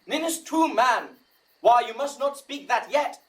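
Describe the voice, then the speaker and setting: A woman's voice: sits high, animated, measured pace, far from the mic. A woman playing a bossy, easily exasperated director of amateur players in a Shakespearean audio drama, theatrical and commanding.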